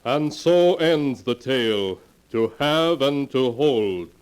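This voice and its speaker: Slow, theatrical male vintage radio announcer. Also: medium pitch, lively intonation, clear, resonant tone, soft background music. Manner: solemn, theatrical, measured. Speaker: announcer and narrator. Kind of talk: 1940s radio horror narration, scripted. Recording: noisy old 1940s radio recording.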